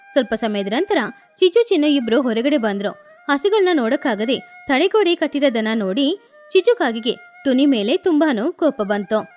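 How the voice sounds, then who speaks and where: A woman narrating a children's moral story in a simple, gentle storytelling manner. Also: high-pitched.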